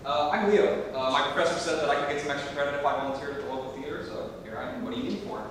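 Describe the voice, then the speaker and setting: Male actor as fast-talking clueless college student, echoey stage. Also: fairly bright tone. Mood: chatty, oblivious. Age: young adult. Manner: rambling, earnest. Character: clueless, chatty young college student volunteer. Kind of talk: stage comedy acting. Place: theater stage. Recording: echoey theater, distant mic, rumbling noise.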